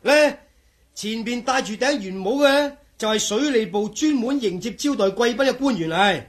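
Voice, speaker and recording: Chatty jokey engineer, high husky voice, hong kong cantonese radio play. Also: male voice, lively intonation, normal speed. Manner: chatty, joking, peppers talk with chuckles and sighs. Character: Wong, chatty good-humored engineer traveling with Wisely. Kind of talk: Cantonese radio drama acting.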